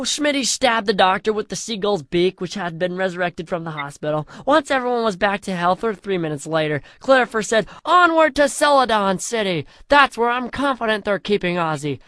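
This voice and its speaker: A young man reading a crude silly fan story aloud in a deadpan way, slowing down for dramatic chapter titles. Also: sits high, no echo, clean studio sound. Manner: deadpan crude comic narration with dramatic slowdowns.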